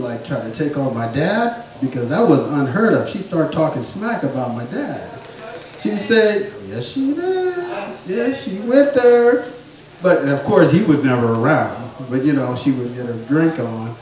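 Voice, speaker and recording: A man telling rambling family stories in a stand-up set, with a soft voice he says he has. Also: high voice, heavy reverb, distant mic, rough recording. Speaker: stand-up comedian. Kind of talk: storytelling stand-up comedy. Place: small theatre or bar stage.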